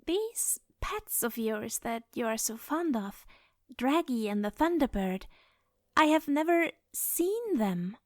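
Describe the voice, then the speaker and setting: Woman as a high, lilting, teasing goddess. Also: sing-song, slow, no echo, clean studio sound. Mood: archly curious. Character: poised, teasing goddess, gracious and curious. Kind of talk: fantasy audio drama acting.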